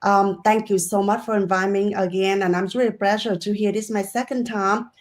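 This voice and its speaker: A woman's voice: mid-range voice, steady tone, brisk. Woman, Vietnamese accent, broken English, medium voice, guest. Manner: broken English with many fillers.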